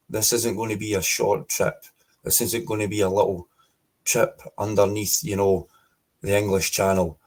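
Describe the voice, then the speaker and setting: Scottish man, podcast guest, emphatic, repetitive, husky, low-pitched. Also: a little mellow. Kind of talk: political interview.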